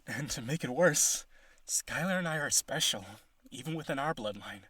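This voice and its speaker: A man playing a possessive, needy vampire aristocrat in a romantic audio roleplay, coaxing the listener with eager theatrical delivery and a breathy voice. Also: medium pitch, expressive, normal speed, sharp tone, dry recording. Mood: wistful.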